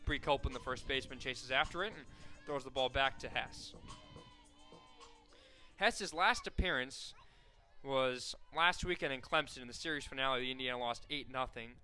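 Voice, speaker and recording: A young man calling softball play-by-play on the radio, steady and full of stats, rising sharply on big plays. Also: mid-range voice, normal speed, faint music behind. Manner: steady, fact-filled call that rises sharply on big plays. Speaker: play-by-play announcer.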